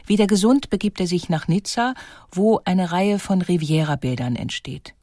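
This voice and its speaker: A woman narrating a scripted biographical documentary in a measured, articulate manner. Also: on the low side, mellow, dry recording, studio quality.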